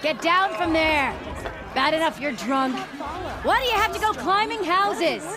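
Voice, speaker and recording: Casting for nagging female villager, game role: very high, loud, fed up, crowd around her. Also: animated, unhurried, music in the background. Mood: exasperated. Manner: scolding, exasperated, calling out. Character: exasperated scolding village woman, loud and shrill. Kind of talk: video game background dialogue.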